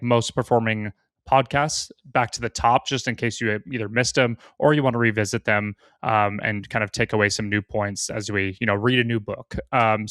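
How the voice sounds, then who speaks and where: A man giving a loose, casual introduction to a podcast rerun, filling pauses with "uh" and "um". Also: fairly low pitch, fairly bright tone, clean tone, dry recording, clean studio sound. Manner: loose, full of fillers like "uh" and "um". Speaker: marketing director introducing a rerun.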